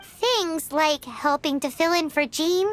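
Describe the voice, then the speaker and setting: A woman voicing a tiny, childlike, cheeky fairy companion in a video game, with a squeaky voice and a blunt, sassy manner. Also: slow pace. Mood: suspicious, teasing. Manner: refers to self in third person, sassy. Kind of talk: animated video game acting. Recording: prominent background game music.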